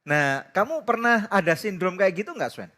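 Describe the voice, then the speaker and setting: A man hosts the interview in a relaxed, chatty tone and reacts often with little exclamations. His voice is a bit higher than most men's. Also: normal speed. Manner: chatty, with frequent "wow" reactions. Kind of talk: casual chat.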